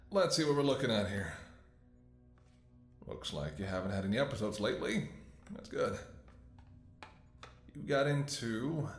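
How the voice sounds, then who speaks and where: Man acting, weary devoted post-apocalyptic doctor, ASMR audio drama, tender and reassuring, lower-pitched voice, echoing room. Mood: gently caring. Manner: tender, soothing, sighing. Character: weary, devoted male doctor tending an infected lover in apocalypse. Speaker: voice actor.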